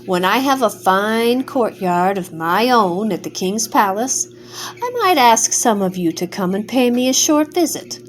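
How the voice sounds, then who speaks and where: A woman's voice: medium pitch, lively intonation, a little slow, slight background noise, lightly acted. An older woman doing a bratty, boastful half-chick. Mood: proud. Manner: haughty, dismissive. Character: boastful stubborn young male half-chick.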